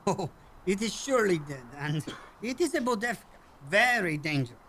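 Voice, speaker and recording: Formal, measured alien villager, acted out. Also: medium pitch, sing-song, a bit bright, slight background noise. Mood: grave, calm. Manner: formal, measured, stilted phrasing. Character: alien villager hunter, calm, formal leader of cave-dwelling people. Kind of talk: sci-fi radio play acting.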